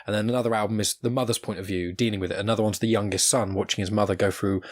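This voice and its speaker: A man's voice: fairly low pitch, fairly even intonation, rapid-fire, dry recording. A man hosting a podcast, chatting casually in an enthusiastic, rambling, earnest way. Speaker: podcast host. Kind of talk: casual conversational interview.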